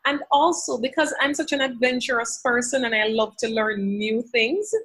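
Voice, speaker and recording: A woman's voice: on the high side, fairly even intonation, moderate pace, a bit bright. A woman guest on a podcast interview, speaking earnestly from her home office and quoting scripture. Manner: earnest, faith-filled, quotes scripture. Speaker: podcast guest, language educator. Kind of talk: conversational interview answers.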